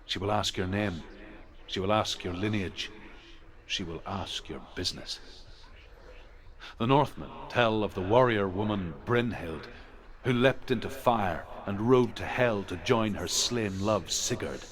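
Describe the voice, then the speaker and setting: An actor playing a grave teller of old Norse myths in a video game, with solemn, measured, portentous scripted narration. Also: male voice, lowish voice. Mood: solemn, foreboding.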